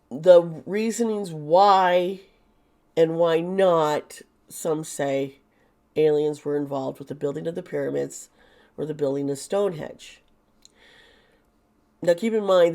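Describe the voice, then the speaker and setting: A hoarse woman who is losing her voice, talking through a tarot topic in a rambling, chatty way with sarcastic asides. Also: low-pitched, warm tone. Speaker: tarot reader. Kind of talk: casual monologue.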